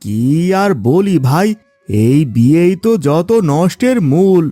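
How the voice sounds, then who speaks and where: An exasperated cartoon farmer husband played by a man, with a high voice that moves in wide, animated swings. He complains theatrically and emphatically, and the recording is dry and close. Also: slow, no room sound, soft background music, full character voice. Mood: weary, downcast. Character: exasperated village farmer husband with quarrelling wives. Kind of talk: cartoon fairy-tale voice acting.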